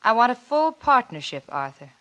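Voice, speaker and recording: A scheming wife in a forties radio thriller. Her fairly high voice swoops theatrically, coy and teasing, as she insinuates and calmly angles for power. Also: normal speed. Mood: coolly calculating. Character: cunning, coolly blackmailing wife angling for power. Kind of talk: 1940s radio suspense acting.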